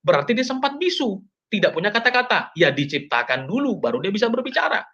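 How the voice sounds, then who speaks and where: A man's voice: sits high, big pitch swings, quick pace, pushed and bright, lo-fi sound. A man arguing theology as a debater, explaining emphatically and repeating himself with rhetorical questions. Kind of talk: impassioned theological argument.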